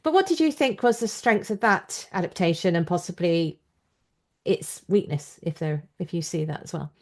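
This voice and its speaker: A woman's voice: on the low side, normal speed, darkish tone. A woman hosting an interview podcast, speaking in a conversational presenting style. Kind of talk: conversational interview with scripted introduction.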